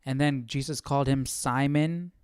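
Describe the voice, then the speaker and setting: A man with a smooth, clear voice thinks aloud as he hosts a study session. His commentary is casual and reflective, pitched in the middle range. The sound is dry and free of room echo. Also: steady tone, moderate pace, darkish tone. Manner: informal, musing aloud. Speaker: host of a Bible study.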